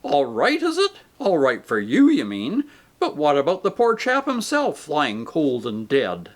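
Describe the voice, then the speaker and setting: A man's voice: a little high, wildly swooping pitch, measured pace, full character voice. A man voicing a coarse, self-righteous male ghost who talks in blunt, indignant working-man speech.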